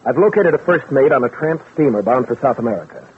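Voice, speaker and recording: Man acting smooth scheming friend, tinny old radio. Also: medium pitch, animated, quick pace, lightly acted. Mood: brisk, persuasive. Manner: persuasive, reassuring, takes charge. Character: smooth, scheming male friend posing as a loyal helper. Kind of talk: 1940s radio drama acting. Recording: noisy, tinny vintage radio recording.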